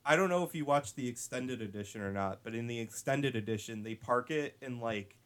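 A man's voice: mid-range voice, brisk, fairly bright tone, clear, resonant tone. A man in casual podcast chat, throwing in dry wisecracks between hesitant fillers.

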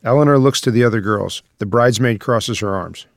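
A man's voice: mid-range voice, fairly quick, gravelly, no echo, clean studio sound. A man reading stage directions as scripted narration for a screenplay.

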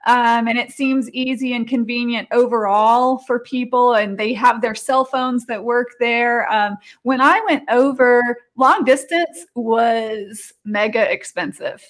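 A woman's voice: on the high side, unhurried, hardly any pauses. A middle-aged woman chatting casually as an interview guest, telling personal stories. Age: 40s or 50s.

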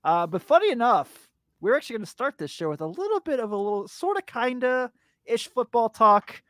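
A man hosting a casual sports podcast, hyped and exclamatory, with a raspy voice today. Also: very high-pitched, sing-song, fairly bright tone, no room sound, studio quality. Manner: hyped, exclamatory, drawn-out hype words. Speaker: podcast host.

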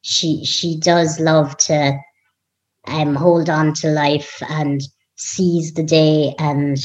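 A young woman from Ireland, a guest in an interview, talking in an unhurried, warm way with frequent ums. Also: deep voice, little pitch movement, lo-fi sound. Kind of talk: casual interview conversation.

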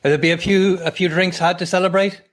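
A man hosting an interview over a video call, measured and formal with many hesitations. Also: sits high, steady tone. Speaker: host.

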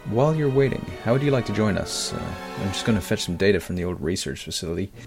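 An actor playing a composed, single-minded scientist in an audio drama, measured, assured and persuasive. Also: male voice, fairly low pitch, lively intonation. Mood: businesslike. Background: music.

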